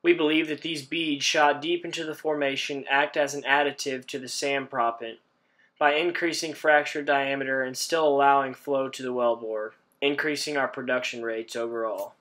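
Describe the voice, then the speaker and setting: A young male engineering student from Texas presents his team's project. He reads steadily from a prepared script, with a plain, mid-pitched voice and a level delivery. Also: steady tone, normal speed, fairly bright tone. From Houston, Texas. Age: young adult.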